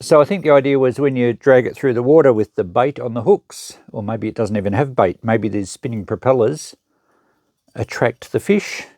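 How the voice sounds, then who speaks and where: A man's voice: mid-range voice, normal speed, a little mellow, no echo, clean studio sound. An Australian man hosting an unboxing, talking casually and matter-of-factly as he thinks aloud and shows items. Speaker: host of an unboxing channel, secondhand shop seller. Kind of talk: casual unscripted show-and-tell commentary.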